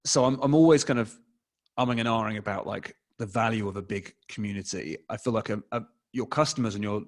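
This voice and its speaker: An English man in his forties talks loosely on a video call, circling his points with ums and self-corrections. His voice sits a little low, picked up by a desk mic in a small home room. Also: brisk. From England. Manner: rambling, many fillers and restarts. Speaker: guest, advertising expert. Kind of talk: casual interview answer.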